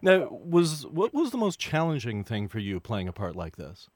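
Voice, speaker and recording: A man asks questions in a radio interview, his pitch rising and falling a lot. He sounds inquisitive and engaged. The recording is close and dry. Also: huge pitch swings, moderate pace, no echo.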